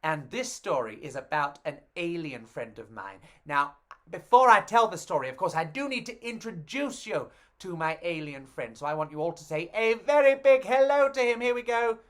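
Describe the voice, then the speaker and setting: A theatrical, expressive storyteller reading to children in an animated storytime style, woman's voice by pitch. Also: normal speed.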